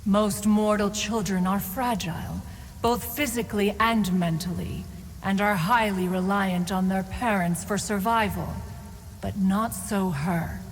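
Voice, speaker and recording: An actress playing an aloof, proud immortal crane guardian in a fantasy game, speaking formally and archaically. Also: female voice, medium pitch, in a large hall, slight background noise, close to an everyday voice, low-quality recording. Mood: solemn, measured. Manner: formal, archaic, lofty, calls herself "one". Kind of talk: scripted fantasy game dialogue.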